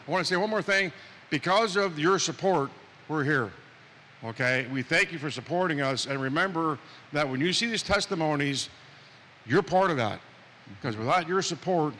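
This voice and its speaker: Bright-voiced Pennsylvania man in a reverberant church. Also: on the high side. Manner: rambling, conversational. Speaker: local ministry member introducing guest. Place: church sanctuary. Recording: reverberant church hall, faint hiss.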